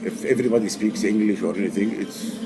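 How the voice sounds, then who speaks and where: A man in his sixties, raised in Egypt, answering interview questions casually in halting English full of fillers and restarts. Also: lowish voice, fairly even intonation. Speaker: poker player guest. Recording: music playing behind.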